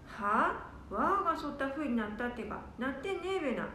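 A woman's voice: high voice, lively intonation, sharp tone, a little hiss or hum. Woman playing a blunt old grandma with a twang. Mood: brisk. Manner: brisk, blunt dialect talk. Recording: echoey room from a distance.

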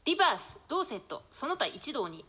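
A woman's voice: high-pitched, very animated, quick pace, sharp tone. A woman narrating a classical play, reading out the stage directions and character entrances from the script.

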